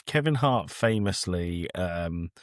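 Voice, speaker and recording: Man, podcast co-host, slightly deep, animated pitch, sardonic, casual film chat, dry recording. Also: slow pace, no echo.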